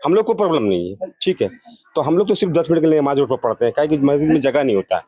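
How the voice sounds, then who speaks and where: A man gives his opinion as an interviewed local resident, talking quickly in a soft-edged, mellow voice. He is earnest and repeats his points for emphasis, and the sound is dry and close. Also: fairly high pitch, animated, husky, no room sound. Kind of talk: street interview, opinion statement.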